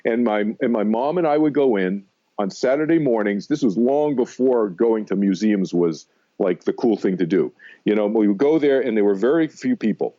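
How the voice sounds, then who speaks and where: An American man in his sixties with a mellow, dark voice, talking as an interview guest. He tells stories emphatically and loops back over his points. The sound is slightly dull and lo-fi. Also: on the low side, animated, normal speed. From Massachusetts, United States. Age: 60s. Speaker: interview guest, meditation teacher. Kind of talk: conversational radio interview, personal storytelling.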